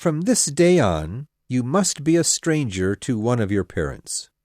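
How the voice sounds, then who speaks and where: A woman playing a wry older father who never hurries. He talks in a low, measured way, with very wide swings of pitch. Dry, close sound. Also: very animated, mellow, dry recording, heavily acted.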